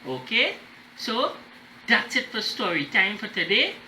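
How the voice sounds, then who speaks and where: A man reading a children's story aloud in an expressive, deliberate way, as the host of a storytime. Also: sits high. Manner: animated, deliberate, checking in with listeners. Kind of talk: expressive read-aloud with explanatory asides. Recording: roomy echo, faint background noise.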